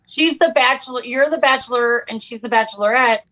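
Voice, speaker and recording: A high-pitched woman comedian tells stories on a podcast. She talks casually and leaps up in pitch whenever she acts out what someone else said. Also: a little fast, lo-fi sound. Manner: animated, jumps pitch for quoted voices. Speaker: comedian guest. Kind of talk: casual podcast chat, comic storytelling.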